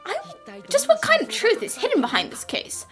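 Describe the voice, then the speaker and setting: A woman's voice: very high-pitched, wildly swooping pitch, a little fast, a bit bright, faint music behind, full character voice. Woman acting earnest, puzzled cartoon boy assistant. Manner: earnest, questioning. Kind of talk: fan-dubbed cartoon acting.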